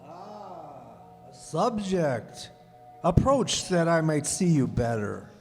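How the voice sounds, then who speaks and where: A man's voice: on the high side, big pitch swings, takes it slowly, soft background music, exaggerated voice. A man acting a pompous, imperious, self-important king in a theatrical radio play. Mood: grandly pleased. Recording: echoey hall, long reverb.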